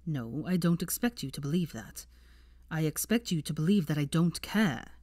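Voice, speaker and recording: A woman's voice: low voice, expressive, normal speed, mellow, no echo, studio quality. A woman reading the part of a bitter, defensive seventeen-year-old wizard boy with a cold temper in an audiobook story. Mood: angry. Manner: profane, bitter, arguing in long bursts. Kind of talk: fan fiction audiobook character dialogue.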